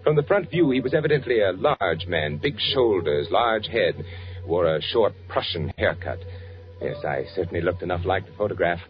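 An actor playing an anxious, secretive man posing as a German traveler, in halting, self-questioning 1940s radio suspense style. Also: low-pitched, lively intonation. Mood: brooding narration. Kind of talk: 1940s radio suspense acting, confessional first-person narration and dialogue. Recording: muffled old 1940s radio recording with music.

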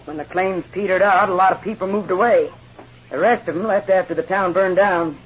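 A woman's voice: fairly low pitch, brisk, breathy edge. A woman playing a brusque, hard, scheming outlaw's wife in a 1950s radio western. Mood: brusque, suspicious. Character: heavily built, gruff, scheming female outlaw's wife. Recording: dull old radio recording, faint noise.

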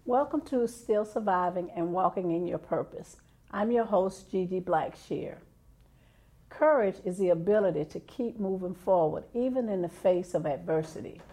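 A woman hosting an interview show, warmly encouraging and quick with affirmations. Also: fairly low pitch. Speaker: show host.

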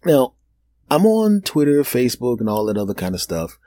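A man in his fifties hosting a solo podcast, rambling and joking, laughing at his own jokes and dropping into low asides. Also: very animated, moderate pace, dark tone, no echo. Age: 50s. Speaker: podcast host. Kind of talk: solo comedic podcast monologue.

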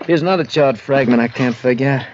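An American actor playing a plain-spoken, matter-of-fact police lieutenant in a 1950s radio drama. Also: male voice, medium pitch, fast talker, lightly acted. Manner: plain, businesslike. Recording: muffled old radio recording, faint noise.

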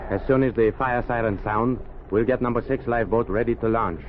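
Actor as a fast-talking furtive saboteur, old radio. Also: male voice, medium pitch, fairly even intonation, close to an everyday voice. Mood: tense. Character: brisk male saboteur steward, furtive and businesslike. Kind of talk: 1930s radio acting. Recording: tinny, noisy 1930s radio recording.

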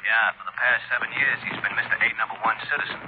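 A man plays a businesslike insurance executive in an old radio play. He speaks quickly and plainly. The sound is thin, like a phone line. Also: medium pitch, noisy background, lightly acted. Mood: terse, businesslike. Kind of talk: 1950s radio drama acting.

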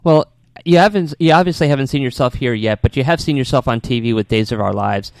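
A man's voice: mid-range voice, fast, vocal fry, smooth, no echo. Rambling, conversational male radio host. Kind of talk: casual radio interview chat.

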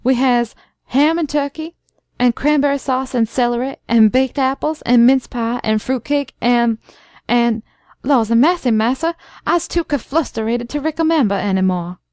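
A woman's voice: on the high side, normal speed, no echo, clean studio sound, lightly acted. A woman voicing an elderly male servant who croons and fusses with devotion. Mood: excited. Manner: crooning, excited.

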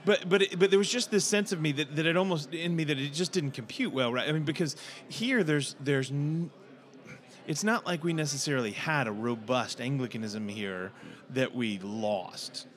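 A man hosting a discussion, searching for words with many pauses and fillers. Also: fairly high pitch. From United States. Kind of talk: casual discussion.

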